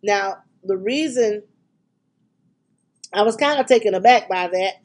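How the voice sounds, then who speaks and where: A middle-aged woman hosting a podcast, talking in a chatty, excitable way with frequent ums, her voice congested from a cold. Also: mid-range voice, a little slow. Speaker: podcast and radio host. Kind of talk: casual podcast chat.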